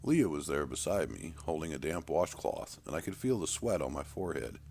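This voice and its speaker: Deep-voiced man narrating a story, lively pitch. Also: a little fast. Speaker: author-narrator. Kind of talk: first-person short story narration. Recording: clear background noise, ambience.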